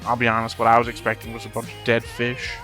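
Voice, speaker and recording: A man giving unscripted reaction commentary as a host, rambling as he thinks out loud. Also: mid-range voice, completely flat delivery, quick pace, faint music behind.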